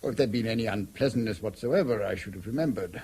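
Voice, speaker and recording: An actor playing an elderly, courteous retired army colonel with an upper-class English accent and old-fashioned military phrasing in a radio drama. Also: male voice, fairly low pitch, fast talker, darkish tone, slightly rough. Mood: courteous, puzzled. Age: older.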